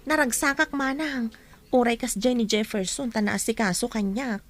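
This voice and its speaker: A woman's voice: a little high, fairly even intonation, moderate pace, fairly bright tone, lightly acted. A woman acting a cheerful, teasing young wife and mother in a radio drama. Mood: cheerful, mild complaint.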